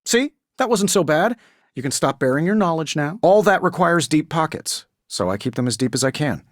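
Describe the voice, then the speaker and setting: An actor playing a cocky, quippy superhero inventor in a video game, glib and sarcastic. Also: male voice, on the high side, lively intonation, moderate pace, no echo, clean studio sound, natural voice. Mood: confident, glib. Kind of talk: video game voice acting, scripted quips.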